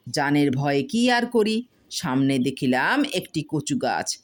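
A woman voicing a boastful village husband who spins excited tall tales, repeating words for suspense in a storybook reading. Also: sits low. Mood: excited, dramatic.

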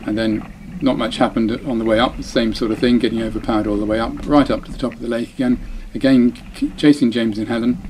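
A man talks over footage of his own sailing race in a chatty, self-deprecating way, often breaking off mid-phrase and starting again. His voice sits at an ordinary middle pitch. Faint boat and water noise runs underneath. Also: fairly even intonation, fast, few pauses, darkish tone, poor audio. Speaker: dinghy racing sailor.

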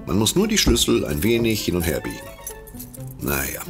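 A low-voiced snoop over music. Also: male voice, wildly swooping pitch, normal speed, exaggerated voice. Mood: furtive, smug. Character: furtive male snoop narrating his own break-in. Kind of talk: German audio drama acting. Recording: loud music behind the voice.

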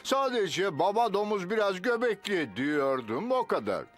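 A man playing a big-hearted gentleman showman in a children's cartoon, with a drawn-out delivery over music. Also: high voice, sing-song, heavily acted. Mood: showy, teasing. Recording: loud music behind the voice.

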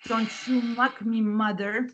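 A woman's voice: a little high, steady tone, slow pace. A woman playing a domineering, disapproving mother who nags her son, in a screenplay read over a video call. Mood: scolding. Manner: sharp, nagging. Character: Hanish, scolding immigrant mother, disapproving and domineering. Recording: dull lo-fi video call audio.

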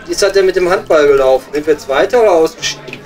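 An actor playing a weary, thirsty coal miner in a pub comedy audio play, grumbling in matey slang with a Ruhr German accent. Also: male voice, on the high side, very fast, darkish tone, close to an everyday voice. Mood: moaning. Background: music.

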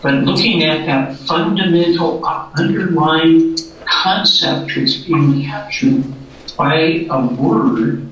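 Slow, halting man lecturing in an echoey room. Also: fairly high pitch, a bit bright. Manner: halting, repeats words while thinking. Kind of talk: academic lecture with slides. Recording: distant, echoey, dull room recording.

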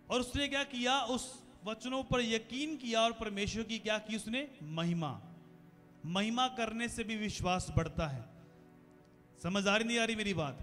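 A man in his thirties preaches into a handheld microphone in a large church hall, his voice pitched high. He keeps turning questions back to the congregation and builds up to loud calls of praise. Long reverb hangs in the room, with faint music behind. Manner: preaching with questions to the congregation, growing louder toward the close. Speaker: pastor. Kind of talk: sermon. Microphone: handheld mic.

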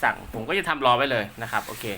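A man with a bright, forward voice talks casually through hands-on technical work. He is polite and rambles a little, as if half talking to himself while handling gear. Faint ambient noise sits behind him. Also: mid-range voice, fast. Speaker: radio technician and seller presenting his work. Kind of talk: casual hands-on unboxing commentary.

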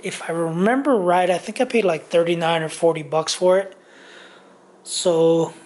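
Slow rambling man in a garage. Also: sits high, darkish tone. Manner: rambling, loose, many ums and restarts. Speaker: tool reviewer. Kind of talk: casual tool review vlog. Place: home garage workshop.

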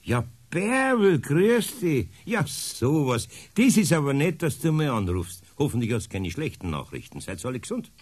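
An actor with a Bavarian accent playing a kindly, amused older carpenter uncle in a radio play, husky and easygoing. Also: male voice, a little high, very animated, normal speed, hardly any pauses, darkish tone. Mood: pleased, teasing. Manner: easygoing, hesitant, folksy. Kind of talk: German radio play acting.

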